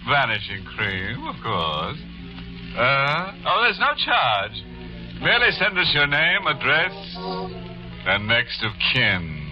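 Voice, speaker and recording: A man's voice: mid-range voice, wildly swooping pitch, over music. A man hosting a 1940s radio horror show with macabre jokes. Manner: macabre joking, drawn-out delivery. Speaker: horror anthology host. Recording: muffled 1940s radio broadcast.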